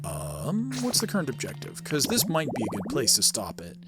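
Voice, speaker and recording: A man's voice: mid-range voice, wildly swooping pitch, normal speed, loud music behind the voice. A man giving casual let's-play commentary as host, rambling and thinking aloud. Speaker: gaming video host.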